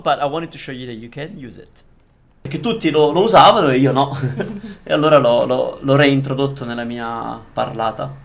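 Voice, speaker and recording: A man from Italy teaching Italian, explaining phrases with restarts and fillers, switching between English and Italian. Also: fairly high pitch. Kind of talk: language lesson commentary.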